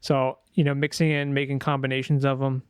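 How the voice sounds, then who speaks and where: A man presenting a sound design software tutorial in a casual, informal way, thinking aloud as he demonstrates. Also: mid-range voice, flat delivery, fairly quick, smooth, dry recording, clean studio sound.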